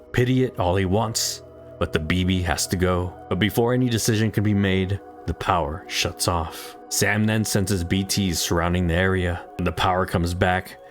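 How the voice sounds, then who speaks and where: A man with a low voice delivers scripted narration, retelling a story step by step as a recap host. Music plays behind his voice. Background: background music.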